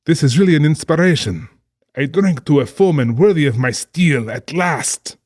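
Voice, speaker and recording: An actor playing a cultured, genially menacing general and big-game hunter in a dramatized audiobook, genteel, theatrical and condescending. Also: male voice, a little high, lively intonation, moderate pace, darkish tone, no room sound, studio quality. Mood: gleeful, menacing.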